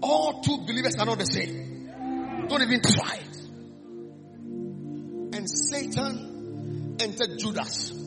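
A man with a Ghanaian accent preaching a sermon, calling out lines for the congregation to repeat, dropping low and then rising to shouts. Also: takes it slowly, pauses often, over loud music. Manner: fiery call-and-response preaching, swings from hushed to shouting.

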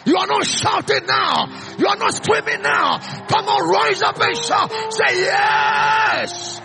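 Ghanaian preacher with a high, gravelly voice. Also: male voice, slow, over music. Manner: fiery call-and-response preaching, swings from hushed to shouting. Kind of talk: sermon.

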